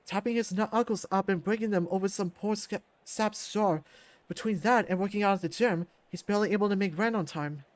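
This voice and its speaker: High-voiced man narrating a story. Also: darkish tone, dry recording. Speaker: narrator reading a visual novel aloud.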